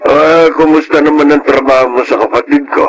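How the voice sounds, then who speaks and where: A man with a Filipino accent playing an affable, hospitable gentleman in a radio drama, casual and friendly. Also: fairly high pitch, fairly even intonation, fairly quick, natural voice, poor audio.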